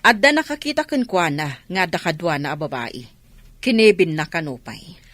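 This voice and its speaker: An animated, suspicious younger sister. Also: female voice, fairly low pitch, normal speed, no echo. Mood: insistent, concerned. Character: concerned, suspicious younger sister warning insistently. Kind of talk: Ilocano radio drama acting.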